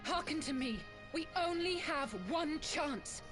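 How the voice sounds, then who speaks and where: Game heroine: resolute warrior leader, high voice, formal and commanding, music clearly underneath. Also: female voice, takes it slowly. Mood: urgent resolve. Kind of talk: video game voice acting.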